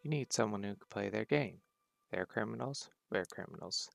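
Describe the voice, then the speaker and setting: An actor playing a sly male dragon envoy from a criminal band, persuasive and measured, in an audio drama with music behind. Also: on the low side, lively intonation, vocal fry, no echo. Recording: background music under the voice.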